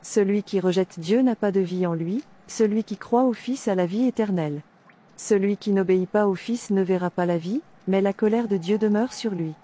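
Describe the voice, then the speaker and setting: Casting: actress as fearless believer preaching verses in a cartoon, warm dark tone, medium pitch. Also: female voice, normal speed, natural voice. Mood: steadfast, preaching. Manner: declamatory, reciting verses with references. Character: devout, fearless captive woman preaching scripture. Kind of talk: scripture recitation in animated drama.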